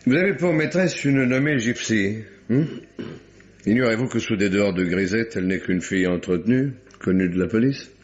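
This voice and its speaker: A man's voice: on the low side, lively intonation, normal speed, natural voice. An actor playing a shrewd, celebrated nineteenth-century French police detective in a radio drama, polite to superiors and probing in his questions. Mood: probing, firm. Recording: dull, lo-fi old radio recording.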